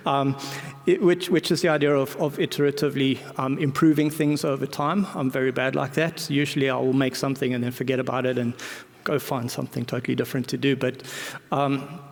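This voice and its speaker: A man's voice: medium pitch, level tone, brisk, gravelly. A man speaking informally as a conference presenter, colloquial and self-deprecating, often repeating words and backtracking as he goes. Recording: reverberant hall recording.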